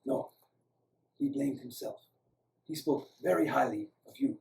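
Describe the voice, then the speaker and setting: A man voicing a gentle, courteous older male doctor with an Eastern European accent in a story, speaking hesitantly. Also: mid-range voice, lively intonation, unhurried, creaky. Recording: roomy, echoing, distant microphone.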